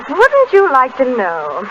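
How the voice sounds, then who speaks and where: A woman's voice: high-pitched, muffled recording, heavily acted. A woman acting a sly, cagey secretary in a 1930s crime radio drama. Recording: noisy old 1930s radio recording.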